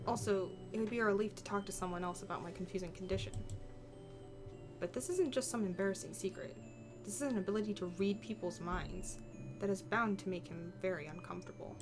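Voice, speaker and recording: A woman's voice: medium pitch, close to an everyday voice. Woman playing a conflicted, secretive heroine. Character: conflicted, secretive heroine with a brooding, wary inner voice. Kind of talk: visual novel read-aloud with inner monologue.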